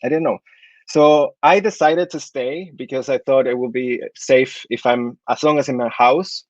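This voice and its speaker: A man's voice: mid-range voice, normal speed, no echo. Chilean guy in his 30s talking thru portfolio tips, lots of uhs, dry muffled mic. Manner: hesitant, many fillers and restarts. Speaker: architect giving portfolio advice. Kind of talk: online talk with slide review.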